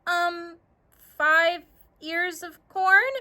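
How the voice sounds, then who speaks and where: A woman's voice: extremely high pitch, fairly even intonation, drawn-out words, bright, forceful tone, pitched above the performer's own voice, exaggerated voice. A woman voicing an earnest, anxious young farm girl in a children's story, plaintive and hesitant. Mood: sheepish.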